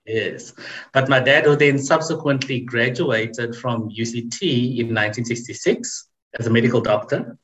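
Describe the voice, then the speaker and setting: A man in his forties, a podcast guest telling his family story in a measured, reflective way. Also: medium pitch, fairly bright tone, low-quality recording. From South Africa. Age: 40s. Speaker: interview guest. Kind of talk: casual interview chat.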